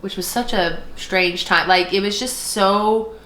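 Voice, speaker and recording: A woman's voice: mid-range voice, measured pace, a bit bright. A woman podcast co-host telling personal stories in casual, informal chat, often acting out remarks she quotes. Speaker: podcast co-host, former art student. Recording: roomy, echoey sound.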